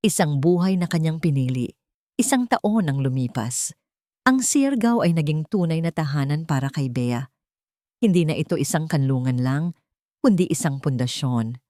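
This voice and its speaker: A woman's voice: low-pitched, lively intonation, moderate pace, warm tone, no room sound, clean studio sound. A woman narrating a scripted, dramatic love story as a storyteller.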